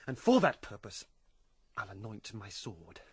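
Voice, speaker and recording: A man's voice: fairly low pitch, big pitch swings, unhurried, slightly rough, no echo, heavily acted. An actor playing a passionate, vengeful young nobleman in a Shakespearean radio drama, intense and dramatic. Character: vengeful young nobleman, passionate, hot-blooded, bereaved son and brother.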